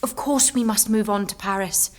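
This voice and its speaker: A woman's voice: mid-range voice, normal speed, close to an everyday voice. A young woman playing a determined, devout eighteen-year-old warrior maiden in an audio drama, speaking earnestly, firmly and bluntly. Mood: defiant, urgent. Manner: earnest, firm, outspoken. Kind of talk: historical audio drama acting.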